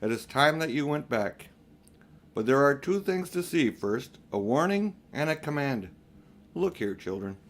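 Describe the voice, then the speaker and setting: A man voicing a wise, grave lion in an audiobook, speaking in measured, commanding pronouncements. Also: medium pitch, sing-song. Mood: solemn, warning. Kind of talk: audiobook character reading.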